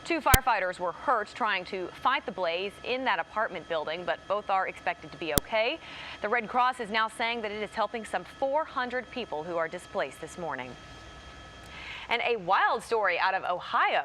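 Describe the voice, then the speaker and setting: Woman, American accent, news anchor delivery, medium pitch, clicky noisy recording. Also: expressive, moderate pace.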